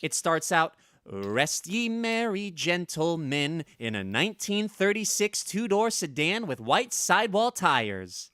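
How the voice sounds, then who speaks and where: A man plays a brash teenage son from a vintage family radio comedy. He is eager as a young salesman, with a lively voice that jumps up and down. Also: on the high side, takes it slowly, few pauses, dry recording, studio quality, exaggerated voice. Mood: eager, pitching. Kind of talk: old-time radio comedy acting.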